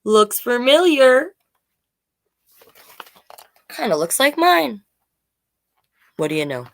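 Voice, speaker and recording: A woman's voice: high voice, very animated, slow, creaky. A woman hosting a children's livestream storytime as a drag king, chatting directly to viewers between pages.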